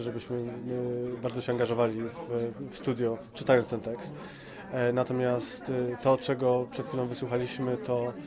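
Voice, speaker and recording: A man giving a casual interview, hesitant and often repeating words, with a tongue-in-cheek streak. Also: medium pitch. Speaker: amateur voice actor being interviewed. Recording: busy crowd chatter behind. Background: crowd chatter.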